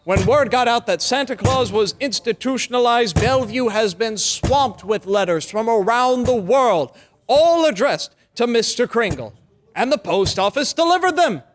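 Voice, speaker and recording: A man's voice: very high-pitched, animated, normal speed. A man playing a confident, persuasive defense lawyer in an old-time radio drama, speaking in an emphatic, oratorical way. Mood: triumphant.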